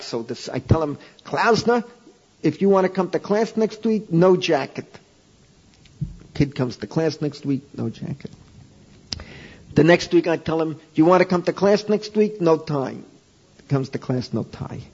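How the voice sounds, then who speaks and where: Older guy rabbi telling class a story, gravelly husky voice, old dull tape sound. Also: fairly high pitch. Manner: animated anecdotal, voices his own past lines loudly. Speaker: rabbi and teacher. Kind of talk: informal lecture storytelling.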